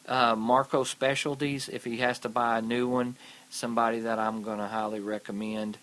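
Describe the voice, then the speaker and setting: Man, repair expert co-host, relaxed, plainspoken, practical tips, casual podcast. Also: mid-range voice, moderate pace. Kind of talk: casual technical advice.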